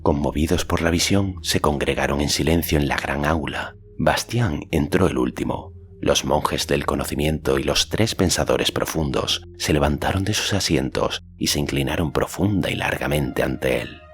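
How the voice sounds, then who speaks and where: A man reading literary fantasy narration as an audiobook narrator, in a measured, solemn storytelling manner. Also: extremely low pitch.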